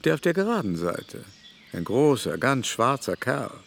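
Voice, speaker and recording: A man's voice: medium pitch, sing-song, normal speed, vocal fry, a bit bright, slight background noise. An actor playing an older, lecherous aristocratic baron in a radio drama, haughty, fussy and mannered in his speech. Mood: disdainful, prying.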